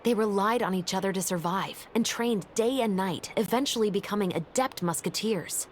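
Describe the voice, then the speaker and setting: A woman voicing a calm, composed female officer in a video game, speaking in a steady, matter-of-fact way. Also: mid-range voice, normal speed, a little hiss or hum, close to an everyday voice. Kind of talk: game voice acting.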